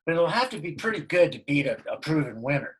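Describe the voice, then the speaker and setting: A man's voice: a little high, big pitch swings, fast talker, breathy edge. A man reading the part of an earnest, goofy suburban dad at a sitcom table read.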